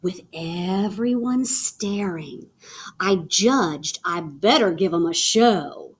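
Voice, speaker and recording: A woman reading a children's picture book aloud in an animated, theatrical way with dramatic pauses. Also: on the low side, slightly rough. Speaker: read-aloud storyteller.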